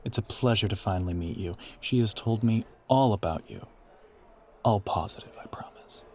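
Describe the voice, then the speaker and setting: A man's voice: fairly low pitch, normal speed, mellow, lightly acted. A man playing an ancient, teasing vampire who poses as a human boyfriend in an audio roleplay, drawling and often slipping toward a whisper. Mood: charming, polite.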